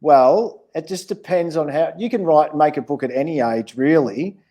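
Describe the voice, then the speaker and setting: A man's voice: fairly high pitch, normal speed, dark tone. A man with an Australian accent answering questions in a casual, encouraging, plain-spoken way as a children's author. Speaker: children's author, interview guest.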